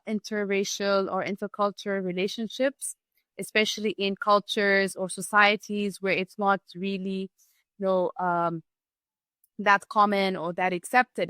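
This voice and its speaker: Podcast guest: woman, 30s, Lebanese raised in southern Africa, bright forward voice, dry close sound, open explanatory storytelling. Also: mid-range voice, clean tone, no room sound. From Lebanese, grew up in Zimbabwe and the Gambia. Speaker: podcast guest, holistic wellness practitioner. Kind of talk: conversational personal storytelling.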